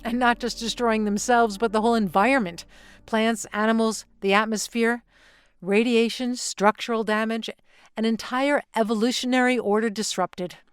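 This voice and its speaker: A woman's voice: fairly high pitch, steady tone, normal speed, clean tone, no room sound, clean studio sound, natural voice. A woman playing an unflappable, businesslike alien real estate agent in an audio play, with a salesy delivery. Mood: grave, dramatic.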